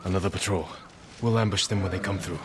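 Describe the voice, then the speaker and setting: A low-voiced, steadfast samurai lord played by a male actor speaks in short, measured, serious phrases. It is dramatic game cutscene acting, with noticeable ambient noise around him. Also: steady tone, lightly acted. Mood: grave, resolute. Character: steadfast, grave young samurai lord leading a war.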